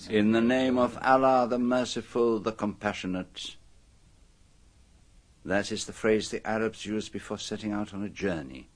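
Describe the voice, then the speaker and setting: A man playing a polite, methodical foreign detective in a radio drama, with a French accent and precise, measured oratory. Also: lowish voice, steady tone, natural voice. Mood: solemn, deliberate.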